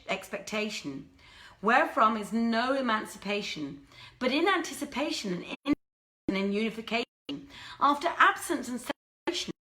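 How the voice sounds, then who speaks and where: A woman giving a live, theatrical reading of classic tales with deliberate phrasing, recorded indoors in a theatre. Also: on the high side, fairly bright tone. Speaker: storyteller, reader. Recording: roomy, echoing theatre sound.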